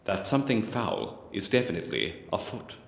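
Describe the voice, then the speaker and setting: A low-voiced, self-assured detective. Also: male voice, wildly swooping pitch, moderate pace, vocal fry, exaggerated voice. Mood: grave, wry. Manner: precise, declarative, theatrical deduction. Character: keen, self-assured male Victorian detective, coolly analytical. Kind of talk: dramatic film dialogue. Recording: reverberant hall sound with music underneath.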